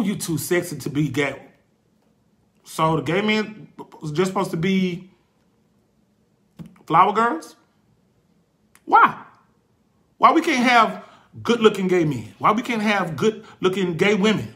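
A fervent man in his forties, his voice pitched a little high and ringing bright. He speaks like a preacher, hammering his points home through repetition. When he turns reflective, he falters and restarts. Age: 40s. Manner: passionate, preacherly, repeats phrases for emphasis. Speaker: host. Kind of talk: faith talk.

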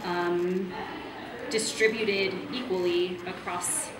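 A woman giving measured, articulate interview answers in an indoor room. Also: on the low side, fairly even intonation. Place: indoor room with mural wall. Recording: echoey room with crowd noise.

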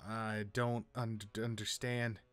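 A man playing a hesitant, earnest young hero in an amateur anime game roleplay, speaking in a halting, unsure way. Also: lowish voice, little pitch movement, fairly bright tone, smooth. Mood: bewildered.

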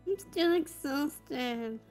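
A high-pitched, drowsy young girl acted by a woman in a family game roleplay. She whines and grumbles at a slow pace, with faint music behind her. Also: darkish tone, low-quality recording. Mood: complaining. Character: tired, grumbling young daughter.

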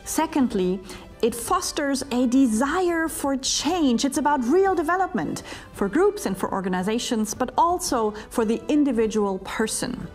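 A middle-aged woman, a keynote speaker and leadership coach, talking to camera in a scripted promotional monologue with emphatic, deliberate delivery and dramatic pauses, recorded in a studio on a lapel mic. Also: high-pitched, lively intonation. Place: studio before a green screen, edited onto a virtual ship deck. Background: faint music bed.

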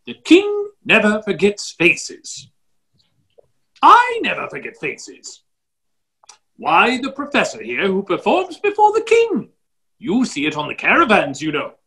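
A man's voice: sits high, wildly swooping pitch, a little slow, sharp tone, heavily acted. A middle-aged man playing a genial, muddle-headed, blustering duke in a play reading over a video call. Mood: breezy, self-satisfied. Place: indoor office, video call. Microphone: computer microphone on a video call.

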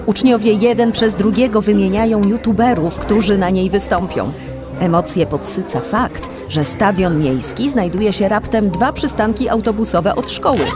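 A woman's voice: medium pitch, normal speed, over music, natural voice. A woman narrating a children's radio play in a scripted storytelling style. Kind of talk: scripted audio drama narration.

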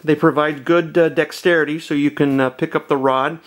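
A man hosting a DIY video, explaining a cheap welding setup at his workshop bench in a halting, restart-filled way. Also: fairly high pitch, fairly even intonation. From Southern California. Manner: halting, many ums and uhs, restarts. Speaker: DIY channel host. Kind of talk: casual how-to demonstration. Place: home workshop, at a workbench.

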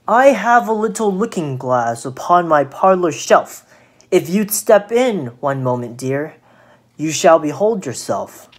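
A woman voicing a sly, flattering male spider for a children's storybook, with slow, honeyed, drawn-out coaxing. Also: sits low, heavily acted. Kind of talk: children's storybook read aloud.

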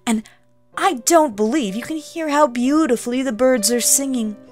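A woman voicing a sly, wicked male wolf in a storybook reading, coaxing and slow. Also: sits high, darkish tone, faint music behind, pitched above the performer's own voice, close to an everyday voice.

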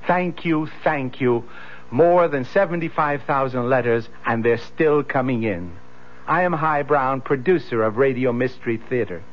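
A man addressing listeners as a radio producer in a measured, courteous scripted announcement. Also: mid-range voice. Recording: dull vintage radio recording.

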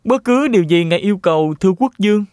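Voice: medium pitch, lively intonation, quick pace, a little mellow, clean tone, no room sound, clean studio sound, lightly acted. An actor playing an earnest, polite young male hero in a children's cartoon dub, speaking formally. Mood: earnest, respectful. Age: young adult.

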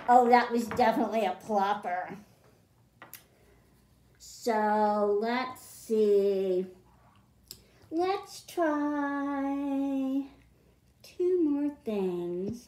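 A middle-aged woman with a slightly high voice leads a storytime for young children. She goes slowly, in simple and deliberate phrases. The indoor room around her adds a clear echo. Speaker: children's librarian, storytime host. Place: small library room with bookshelves, indoors. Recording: roomy, echoing indoor sound.

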